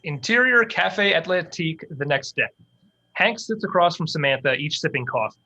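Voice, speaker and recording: A man's voice: fairly high pitch, expressive, moderate pace, sharp tone, lo-fi sound. A man narrating stage directions from a screenplay as scripted narration.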